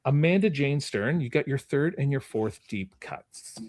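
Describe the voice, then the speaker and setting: Guy hosting a podcast game, super animated pitch, just chatting casually. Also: a little slow. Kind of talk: casual chat.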